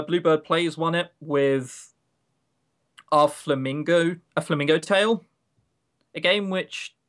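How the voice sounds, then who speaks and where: A man's voice: fairly high pitch, fairly bright tone. Grumbling, sarcastic man chatting on a podcast. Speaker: podcast co-host. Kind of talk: casual opinionated podcast chat.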